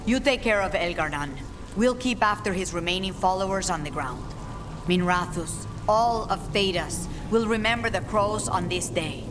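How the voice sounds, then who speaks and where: An actress playing a fierce, resolute fighter in a fantasy video game, commanding and determined. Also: female voice, mid-range voice, expressive, normal speed, music in the background, natural voice.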